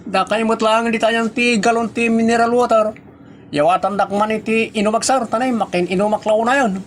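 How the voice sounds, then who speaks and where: A man's voice: sits high, fairly even intonation, fairly quick, a little hiss or hum, natural voice. A man playing a stubborn, bossy character in an Ilocano comedy drama, with an Ilocano accent and emphatic delivery. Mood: insistent.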